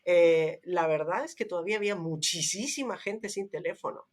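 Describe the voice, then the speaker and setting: A woman's voice: fairly low pitch, normal speed. A middle-aged woman in casual, nostalgic conversation, telling anecdotes and often checking for agreement with little tag questions. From Mexico. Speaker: podcast co-host.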